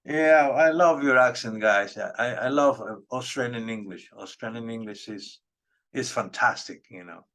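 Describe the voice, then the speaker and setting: Middle aged guy from peru, spanish native, chatting in english as interviewer, mid pitch, pauses a lot. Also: fairly bright tone. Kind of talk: casual interview chat.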